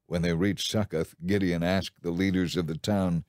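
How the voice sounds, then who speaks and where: A man narrating a dramatized audio Bible in a measured, clearly enunciated storytelling style. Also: sits low, steady tone, a little mellow, no echo, clean studio sound.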